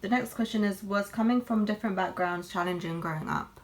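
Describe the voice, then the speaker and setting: A woman's voice: medium pitch, a little fast. A young woman, chatting candidly while doing her makeup for a beauty vlog. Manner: chatty and candid, lots of fillers. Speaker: beauty vlogger. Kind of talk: casual get-ready-with-me chat.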